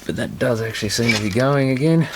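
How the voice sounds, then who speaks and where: A man's voice: medium pitch, fast talker, background noise. A man giving casual hands-on repair commentary, muttering to himself as he works. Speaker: repair video presenter.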